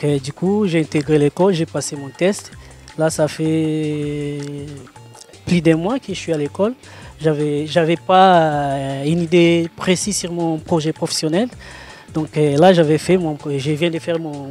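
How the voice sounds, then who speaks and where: A young man talks as a trainee in a news interview. His voice sits fairly high for a man, and he speaks haltingly, filling pauses often, with faint music underneath. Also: darkish tone. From Democratic Republic of the Congo. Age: young adult. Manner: halting, frequent fillers.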